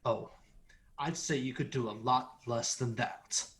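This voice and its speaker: A man's voice: mid-range voice, a little slow, a bit bright, close to an everyday voice. A man playing a bitter, hostile rural man in a radio drama, sneering and confrontational. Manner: sarcastic, confrontational.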